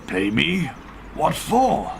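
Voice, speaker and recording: A man acting an anxious, gentle clergyman in a radio play, hesitant and fretful in manner. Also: a little high, huge pitch swings, very slow, gravelly, a little hiss or hum, full character voice. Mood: worried, pleading. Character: anxious, pious, gentle male clergyman suspected of murder.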